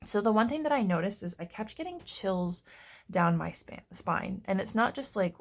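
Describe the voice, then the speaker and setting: A woman giving a casual tarot reading to her viewers, congested and hoarse, chatty and often stopping to search for words. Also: medium pitch, lively intonation, normal speed. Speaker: tarot reader.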